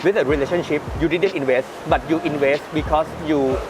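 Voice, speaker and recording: A man with a Thai accent hosting an interview, phrasing his English questions in a halting, roundabout second-language way. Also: a little high, fairly even intonation, noisy background. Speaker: host interviewing a guest. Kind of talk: conversational interview. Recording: music under the voice. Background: background music.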